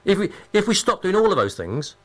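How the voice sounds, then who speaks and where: Animated British male radio host, higher-pitched. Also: brisk. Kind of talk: conversational radio interviewing.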